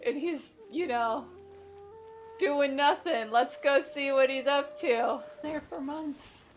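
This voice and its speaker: Female game streamer: high pitch, casual and playful, faint music behind her. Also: slow pace. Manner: giggly, playful. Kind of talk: casual gameplay commentary.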